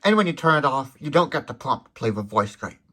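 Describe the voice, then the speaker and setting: A mid-pitched voice of a product demonstrator talking through a device without a script. The delivery is explanatory and thorough, moving from one detail to the next. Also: sing-song, fairly quick, sharp tone, slight background noise. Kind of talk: unscripted tech demonstration.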